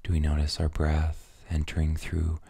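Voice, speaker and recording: A man leading a guided meditation in a soft, soothing, breathy near-whisper, gently and with long pauses between phrases. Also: rumbling low, completely flat delivery, no room sound.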